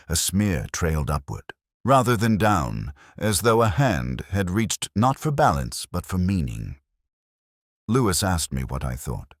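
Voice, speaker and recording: A man playing a weary, melancholy police inspector, narrating a detective drama in the first person in a measured, reflective, solemn way. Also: extremely low pitch, husky, no echo, studio quality, full character voice. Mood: reflective, sombre.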